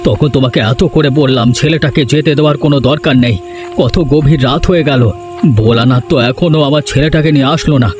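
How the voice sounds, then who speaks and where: Cartoon casting: worried lamenting dad male performer, moderately high male voice, fretful delivery. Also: quick pace, over music, close to an everyday voice. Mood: anxious. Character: anxious, lamenting village father. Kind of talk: cartoon dub acting.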